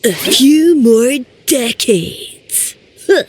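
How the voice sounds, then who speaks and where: A silly, easily startled mushroom creature with an extremely high, swooping voice. It talks slowly and dim-wittedly in exclamations, with game music clearly behind. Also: female voice, very animated, a little mellow, a little hiss or hum, exaggerated voice. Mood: silly, gleeful. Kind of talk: animated video game voice acting.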